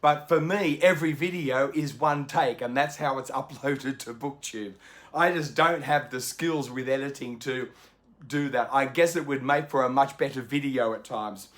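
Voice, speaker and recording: A man, a book video host, talking unscripted to camera at home in a chatty, polite way with lots of ums, his voice slightly croaky. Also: medium pitch, normal speed, a bit bright. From Australia. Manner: chatty and polite, many ums and small laughs. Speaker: BookTube host. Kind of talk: unscripted casual chat to camera. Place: home indoors in the evening.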